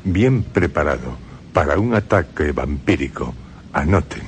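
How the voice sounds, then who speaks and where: A man's voice: very deep voice, animated, unhurried, a little hiss or hum. A man with a Castilian Spanish accent presenting dramatized radio narration in a theatrical, questioning manner.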